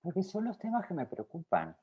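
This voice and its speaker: A woman with a Rioplatense Spanish accent talks as a film director in an interview. Her pitch rises and falls a lot as she thinks aloud. She circles back and restarts her sentences. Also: huge pitch swings, fairly quick, husky, dull audio. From Uruguay. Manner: reflective, with frequent fillers and restarts. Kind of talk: interview answers.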